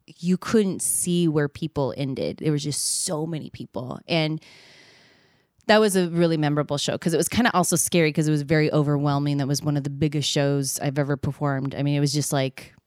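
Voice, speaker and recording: A woman's voice: low-pitched, darkish tone, smooth, dry recording, studio quality. A woman in her thirties, a singer, telling candid stories about her gigs in a casual chat, with lots of fillers and easy laughs. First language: not Spanish; sings in Spanish. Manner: chatty, candid, laughs easily, many fillers. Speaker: singer and podcast co-host.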